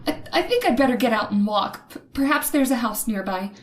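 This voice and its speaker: A woman's voice: fairly high pitch, expressive, close to an everyday voice. An actress playing a frightened young woman held captive by a killer, telling her story in a suspenseful old-time radio horror drama. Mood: nervous. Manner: breathy dramatic suspense, hushed and halting when afraid.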